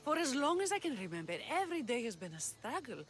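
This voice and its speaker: Passionate rebel leader, swooping pitch. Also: female voice, sing-song, fast talker, background noise. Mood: somber. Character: passionate young female rebel leader in ancient Greece. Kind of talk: video game cutscene acting.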